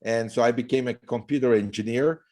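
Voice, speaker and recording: A man's voice: mid-range voice, steady tone, a little fast, darkish tone. A middle-aged man from Turkey, a startup CEO talking as a podcast guest in a loose, rambling way with many fillers. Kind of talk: casual interview talk.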